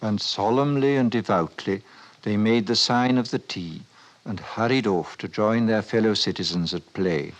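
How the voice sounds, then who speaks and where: An actor playing a solemn storyteller, giving measured, literary narration in a 1950s radio drama. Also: male voice, on the low side, lightly acted. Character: solemn male storyteller of a dystopian tale. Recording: noisy vintage 1950s radio recording.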